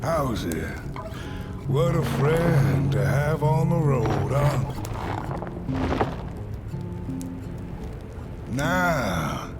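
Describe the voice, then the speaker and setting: Folksy old game wanderer, kindly, very slow drawl, lively rising and falling pitch, music behind. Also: male voice. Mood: kindly, reassuring. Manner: drawling, folksy, chuckling delivery.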